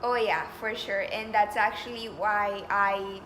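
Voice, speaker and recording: A woman's voice: mid-range voice, steady tone, unhurried, a bit bright, slight background noise. A woman in her twenties from Colombia, answering interview questions in an eager, talkative way full of fillers. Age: 20s. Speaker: job candidate. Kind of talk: mock job interview.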